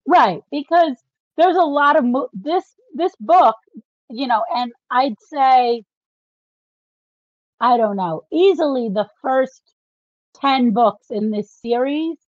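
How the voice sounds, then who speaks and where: A woman's voice: high voice, slow, pauses often, dry recording. A woman co-hosting a casual book discussion, explaining things and often checking that she is followed, on slightly dull audio. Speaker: podcast co-host.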